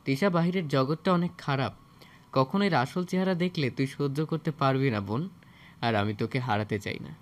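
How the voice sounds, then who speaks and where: A man playing a protective young older brother, speaking softly and entreatingly in an audio story. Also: medium pitch, normal speed, soft background music, lightly acted. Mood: protective, tender.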